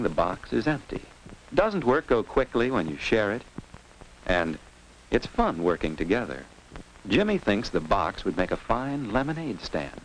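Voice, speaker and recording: Man, American accent, lowish pitch, very varied intonation, 1950s children's instructional narration, didactic, slightly noisy old film sound. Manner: didactic, asking simple rhetorical questions and answering them.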